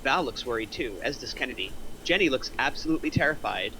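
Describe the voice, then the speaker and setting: Guy narrating stage directions at a table read, bright forceful voice, some noise behind. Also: mid-range voice, animated, fast, poor audio.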